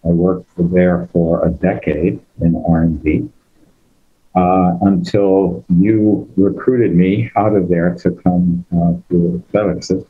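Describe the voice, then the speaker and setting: A man's voice: deep voice, steady tone, slow pace, phone-quality sound, soft background music. An older American man telling his life story on an online call, hesitant and full of fillers and restarts. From United States. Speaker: guest speaker. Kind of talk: conversational personal story.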